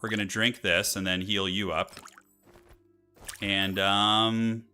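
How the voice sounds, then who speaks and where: A man's voice: on the low side, little pitch movement, slow, bright, forceful tone, smooth. A man giving casual, slangy live commentary as he plays a strategy game, thinking aloud. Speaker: streamer playing a strategy game. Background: game music.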